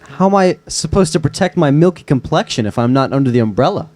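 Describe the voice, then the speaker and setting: A man plays a lovesick young man in a drama table read. His voice sits a little high, and he is wry and earnest. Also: animated, fast talker, darkish tone. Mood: wry, playful. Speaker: actor in a screenplay table read. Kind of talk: live table-read acting.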